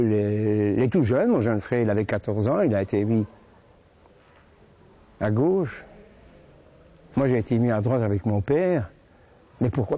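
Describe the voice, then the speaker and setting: A man in his eighties from Brussels with a Belgian French accent, recounting his own memories as a witness, halting and often repeating words as he searches for them. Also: mid-range voice, mellow. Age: 80s. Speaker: witness giving testimony. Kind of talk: first-person spoken recollection.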